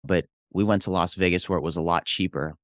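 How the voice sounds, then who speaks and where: A man's voice: low-pitched, fairly even intonation, fast, no echo, studio quality. A young adult man from Hawaii, a real estate investor and pastor, telling his life story in an earnest, reflective way. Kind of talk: casual interview storytelling.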